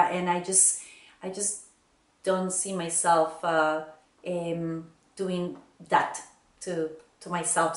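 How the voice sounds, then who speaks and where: A woman from Bolivia answering interview questions reflectively, pausing often with hesitant fillers. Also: lowish voice, echoey room, a little hiss or hum.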